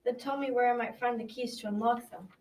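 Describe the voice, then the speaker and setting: A woman's voice: on the high side, steady tone, quick pace, lightly acted. A girl playing a brave young lad, noisy recording. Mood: determined, urgent. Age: young teen. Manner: earnest, theatrical. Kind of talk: school radio drama acting. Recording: noisy, distant mic with clicks.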